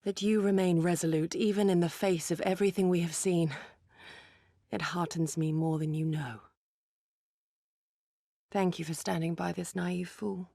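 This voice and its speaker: A woman playing an earnest, dutiful ninja resistance fighter in a video game, speaking in a solemn, measured and formal way. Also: lowish voice, steady tone, gravelly, warm tone, dry recording, studio quality. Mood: grave, heartfelt. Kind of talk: dramatic video game acting.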